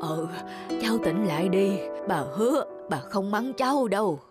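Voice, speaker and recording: A woman playing a stubborn, grumbling elderly grandmother with a soft heart in an animated film. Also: medium pitch, normal speed, natural voice. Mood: worried. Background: music.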